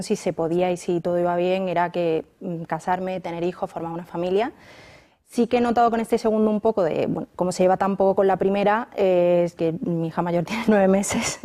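A woman around thirty, a pregnant journalist, answering interview questions in a thoughtful way, with many pauses and restarts. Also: mid-range voice, mellow. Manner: thoughtful, with frequent fillers and restarts.

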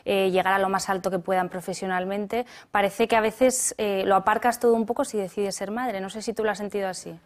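A woman hosting an interview, asking brisk, leading questions. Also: mid-range voice, steady tone. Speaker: interview host.

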